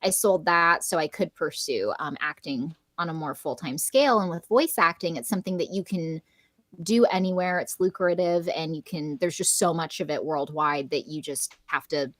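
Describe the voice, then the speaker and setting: A woman's voice: low voice, moderate pace, a bit bright, dry recording. A woman in her thirties from Southern California with a neutral American accent, chatting casually as an interview guest and filling her talk with "like" and laughs. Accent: General American. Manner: chatty, many "like" fillers, laughs often. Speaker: podcast guest, voice actor and coach.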